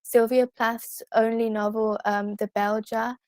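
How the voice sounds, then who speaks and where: A teenage girl from the UK speaks in a high voice with a British accent. She sounds earnest and polite as she chats in an interview, recorded very dry. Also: level tone, a little slow, darkish tone, no echo. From United Kingdom. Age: teenager, fifteen. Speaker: guest poet. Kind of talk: casual interview talk.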